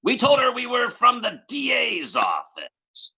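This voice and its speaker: A man's voice: very high voice, huge pitch swings, a little slow, bright, forceful tone, slightly rough, heavily acted. A man playing a tough, slangy gangster who blusters indignantly in an old-time radio detective drama. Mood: wary bargaining. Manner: streetwise hardboiled slang, exclamatory.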